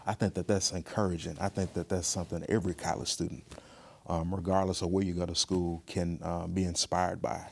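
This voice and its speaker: A man with a low voice talks thoughtfully as a guest in an interview. His delivery is earnest and measured, with pauses as he picks his words. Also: level tone, creaky, darkish tone. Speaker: museum professional, podcast guest.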